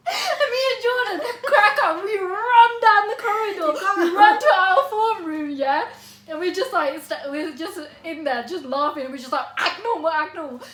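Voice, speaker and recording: Bright-voiced young woman vlogger. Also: very high-pitched, normal speed, roomy sound. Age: 20s. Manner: animated storytelling, laughing often. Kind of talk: casual sibling chat.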